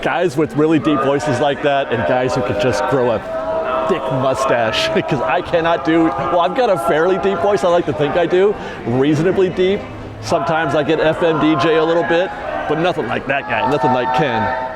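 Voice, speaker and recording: A man's voice: on the high side, moderate pace. A man vlogging outdoors at a theme park at dusk, talking in a reflective, rambling, sentimental way on a lapel mic. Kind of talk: casual walking vlog talk. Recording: outdoor crowd chatter behind.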